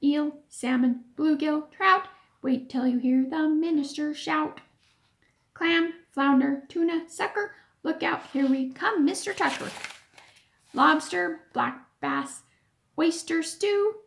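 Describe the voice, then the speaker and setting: Woman acting out an excitable, high-pitched mischievous boy. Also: slow pace, creaky, heavily acted. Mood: coaxing, chanting. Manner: chanting, urging, boisterous. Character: mischievous, excitable boy egging his friend on.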